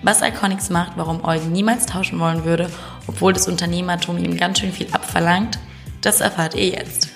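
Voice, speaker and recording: Low, gravelly woman narrating an intro. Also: fairly even intonation, brisk, soft background music. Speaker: podcast narrator.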